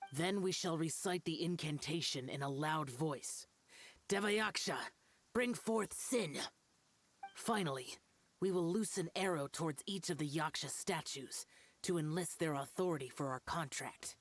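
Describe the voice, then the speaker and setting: An actress playing a stern, formal master of an ancient ritual art, instructing in measured tones in a video game. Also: female voice, sits low.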